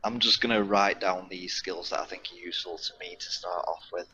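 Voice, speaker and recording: A man speaks casually as a player at a tabletop game. His voice is on the low side yet bright and edgy, recorded with slight background noise. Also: steady tone, fairly quick, dull audio. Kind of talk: casual chat.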